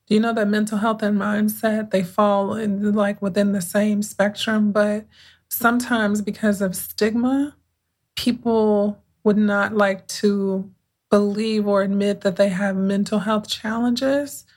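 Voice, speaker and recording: A woman hosting her own podcast as a mindset coach, talking in an unhurried, conversational way with a soothing voice and thoughtful pauses. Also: medium pitch, fairly even intonation, darkish tone. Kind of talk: casual reflective podcast monologue.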